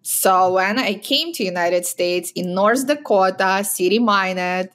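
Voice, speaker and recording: A woman's voice: mid-range voice, measured pace, fairly bright tone. Guest: Ukrainian-accented woman in her thirties, upbeat, laughs easily, video call audio. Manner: cheerful, laughs often, simple non-native grammar. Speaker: guest, real estate agent. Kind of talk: casual interview chat. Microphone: video call, laptop camera.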